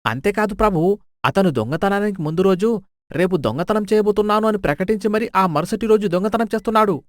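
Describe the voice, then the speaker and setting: A man's voice: sits high, fairly quick, no room sound, studio quality, close to an everyday voice. A man voicing a flustered, deferential royal minister who complains, in a dramatised folk tale. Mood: flustered, apologetic. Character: flustered, fawning royal minister, eager for harsh punishment.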